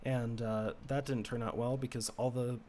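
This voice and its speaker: A young man from the United States streaming a game, musing in a low-key, rambling way and keeping his voice lower than usual. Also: level tone, normal speed, dark tone. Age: teens. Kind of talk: casual chat while gaming.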